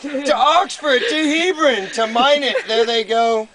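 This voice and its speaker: A man reading a comic rhyming poem aloud to friends in a measured storybook cadence, on an amateur live recording. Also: very high voice, a crowd in the background. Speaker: poem reader.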